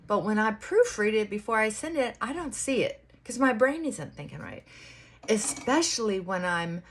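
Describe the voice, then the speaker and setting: A woman vlogger talking in casual, rambling chit-chat, reflective and halting, often trailing off and circling back. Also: a little high, lively intonation, a little hiss or hum.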